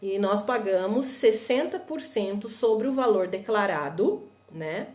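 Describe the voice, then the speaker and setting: A woman with a northern Paraná Brazilian accent explains things to viewers in a chatty live stream, often checking in with them and asking quick rhetorical questions. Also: medium pitch, reverberant. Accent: northern Paraná Brazilian Portuguese. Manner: chatty and animated, addresses viewers as "gente", many tag questions. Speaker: host of a live stream on shopping imports.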